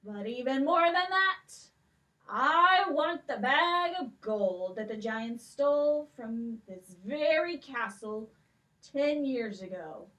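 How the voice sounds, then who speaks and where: A woman's voice: high voice, expressive, slow, sharp tone, full character voice, poor audio. A woman voicing a greedy old king in a puppet show, sly and wheedling. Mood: scheming.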